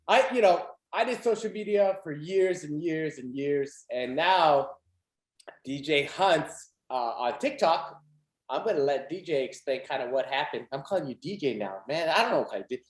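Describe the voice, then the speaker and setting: Young guy hosting a podcast, kinda high voice, lots of likes and laughing, roomy echo. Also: moderate pace. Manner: animated, laughs often, many fillers. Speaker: podcast host. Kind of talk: casual chat. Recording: echoey room.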